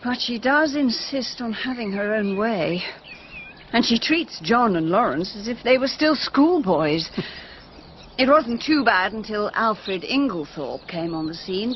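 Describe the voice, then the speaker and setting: A woman's voice: a little high, unhurried, lo-fi sound, slight background noise. Lilting upper-class British lady. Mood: confiding. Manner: genteel, expressive. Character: elegant, restless young married English lady. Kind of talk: period radio drama acting.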